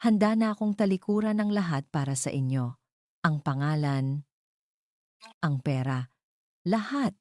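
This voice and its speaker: A woman's voice: sits low, expressive, unhurried, long pauses, warm tone, dry recording, clean studio sound. A woman voicing a remorseful man who pleads earnestly with his love in a dramatic love story. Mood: determined, pleading.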